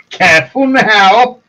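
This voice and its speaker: A man co-hosting a livestream as a reverend, chatting casually and chuckling often. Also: very high-pitched. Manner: chuckling, easygoing, drawn-out phrasing. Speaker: reverend co-host. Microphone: video call. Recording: dull lo-fi livestream audio.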